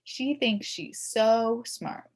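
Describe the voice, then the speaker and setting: A woman's voice: a little high, fairly even intonation, drawn-out words, a little mellow. A woman reading a children's story aloud in a theatrical, emphatic way, as a mischievous child telling it. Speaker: storybook reader. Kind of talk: expressive scripted children's story narration.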